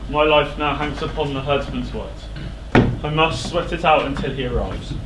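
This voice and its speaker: Man acting an anguished, high-voiced king, echoey stage. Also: close to an everyday voice. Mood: desperate dread. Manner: declamatory, halting, overwrought. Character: anguished king, haunted by guilt and dread. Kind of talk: classical tragedy stage acting. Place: indoor theatre stage. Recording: echoey theatre stage, clear background noise.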